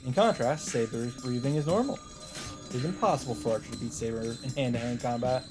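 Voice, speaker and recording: A man's voice: mid-range voice, brisk. Man narrating a visual novel over music. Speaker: let's player reading a visual novel. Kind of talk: dramatic read-aloud narration with casual asides. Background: game music.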